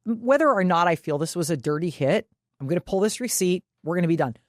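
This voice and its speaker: A woman's voice: fairly low pitch, animated, fast, no room sound, studio quality. A woman co-hosting a sports podcast, talking casually and emphatically with loose swearing. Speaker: podcast co-host.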